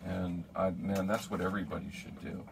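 A man's voice: low-pitched, level tone, brisk, vocal fry, recorded from a distance, heavy background noise. An older man, a retired police officer, telling stories in a chatty, enthusiastic way with many fillers, inside a small boat cabin. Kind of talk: casual storytelling chat.

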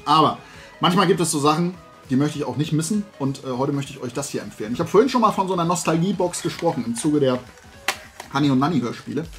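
A middle-aged man hosts a hobby vlog in a somewhat high voice. He rambles in loose, colloquial chat, as if talking to regular viewers. Faint music can be heard with his talk. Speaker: host of a toy and hobby video show. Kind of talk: casual chat, product tasting and recommendations. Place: room at home lined with shelves of toy figures.

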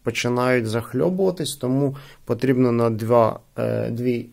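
A man with a creaky voice giving casual unboxing and product testing commentary as a host. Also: mid-range voice, steady tone, normal speed, darkish tone. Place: indoors at a wooden table.

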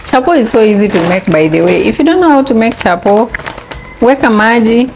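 A woman's voice: fairly high pitch, animated, fairly quick, over music. A woman with a Kenyan accent chats casually as she cooks for a vlog, mixing English and Swahili and explaining as she works. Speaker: vlogger. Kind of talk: casual cooking vlog chat. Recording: clearly noisy home recording. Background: household noise.

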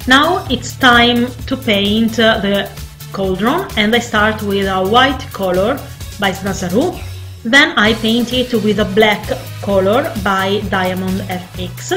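Slow, hesitant Italian-accented woman. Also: medium pitch, a bit bright, soft background music. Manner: slow, hesitant, many fillers. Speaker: makeup tutorial host. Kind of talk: casual face painting tutorial. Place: small bedroom, indoors.

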